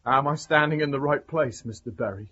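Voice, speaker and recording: An actor playing a condemned Victorian prisoner, resigned and bitter, in a period radio drama. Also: male voice, mid-range voice, brisk, bright, forceful tone, husky. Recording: dull, muffled lo-fi audio.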